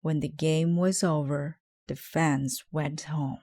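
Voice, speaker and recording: A woman narrates a children's storybook in a fairly low voice. She reads very slowly, and the sound is dry and close. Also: fairly even intonation, dark tone, dry recording. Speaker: storybook reader.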